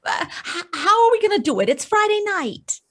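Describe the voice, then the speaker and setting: Woman acting a high, whiny crook's moll. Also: sing-song, normal speed, fairly bright tone, exaggerated voice. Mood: anxious, sarcastic. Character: whiny, scolding, panicky female crook's accomplice. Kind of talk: old-time radio crime drama acting.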